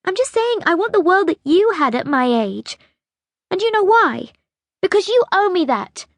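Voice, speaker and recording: A woman's voice: very high-pitched, sing-song, normal speed, dry recording, pitched above the performer's own voice, full character voice. A woman voicing a bitter, insistent young girl in an audiobook story, pleading and accusing with emphasis. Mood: angry, insistent. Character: bitter, demanding young girl pleading with her great-grandmother.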